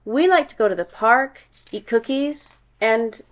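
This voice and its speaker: Woman voicing an earnest, expressive young schoolboy. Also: fairly high pitch, a little slow, close to an everyday voice. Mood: proud.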